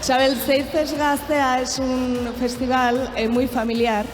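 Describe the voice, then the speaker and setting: Brief: female co-host, high-pitched, casual live interviewer, big reverberant space. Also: level tone, unhurried. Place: festival radio set. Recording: echoing festival PA, loud music.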